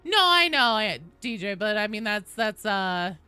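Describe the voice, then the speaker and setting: A woman hosting a game livestream, chatting casually with viewers in an animated, informal, freely swearing way. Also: mid-range voice, measured pace, smooth, dry recording. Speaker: live streamer hosting a game stream.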